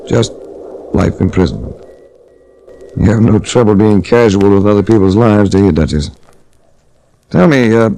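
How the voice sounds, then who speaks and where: Noir detective, low pitch, stern, clipped, deadpan, vintage radio drama sound. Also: male voice, normal speed, creaky, pauses often, slight background noise, low-quality recording. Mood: grim, contemptuous. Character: hard-boiled male investigator, stern and coolly accusing. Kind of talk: 1940s radio drama acting, noir narration. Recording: restored vintage 1940s radio recording.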